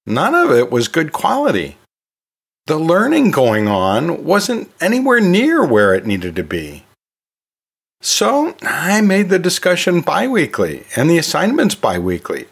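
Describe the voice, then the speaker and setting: Animated male podcast host, fairly high voice. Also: breathy edge. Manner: emphatic, with pauses and rhetorical asides. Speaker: podcast host and college instructor. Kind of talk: solo educational podcast talk, conversational.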